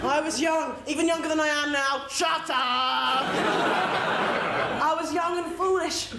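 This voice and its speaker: A woman playing a brassy, melodramatic mother in a stage pantomime, declaiming hammily with comic pauses. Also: sits high, steady tone. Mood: mock-solemn confession. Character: brassy, melodramatic pantomime mother confessing a secret love affair. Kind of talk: stage pantomime comedy acting. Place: theatre stage before a live audience. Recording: roomy theatre recording with noisy audience.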